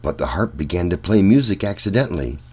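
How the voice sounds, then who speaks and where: A man reading a children's story aloud as narrator, with deliberate, measured phrasing. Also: sits low, very animated, breathy edge.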